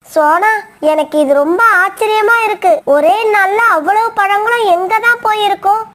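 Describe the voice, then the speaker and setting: An earnest young female parrot with an extremely high, small voice. She speaks her mind plainly, in the style of a cartoon story. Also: moderate pace, fairly bright tone. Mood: eager, puzzled.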